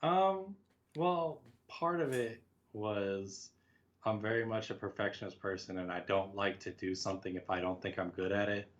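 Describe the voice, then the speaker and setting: Low-voiced man, dull lo-fi audio. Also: moderate pace. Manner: reflective, self-deprecating, talks in quoted asides. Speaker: podcast guest, writer. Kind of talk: casual conversational interview.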